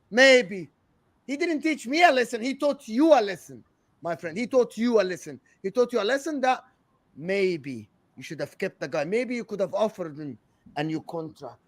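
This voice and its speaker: High-voiced man ranting about football. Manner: teasing, argumentative, bursts into swearing rants. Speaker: football podcast co-host. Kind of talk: heated casual football chat.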